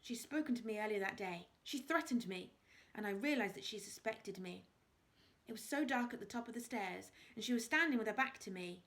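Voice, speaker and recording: A woman's voice: medium pitch, lively intonation, a little fast, a bit bright. A woman doing a subdued, cornered young man. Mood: confessing.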